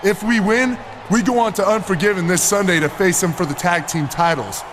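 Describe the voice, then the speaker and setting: A man acts out a vain, cocky pro wrestler in a video game. The voice sits high and sounds smug and showy, always boasting. Clear noise runs behind it. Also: fairly quick, lightly acted. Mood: bossy, urging. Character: cocky vain male muscleman wrestler, self-styled masterpiece. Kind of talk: video game cut scene acting.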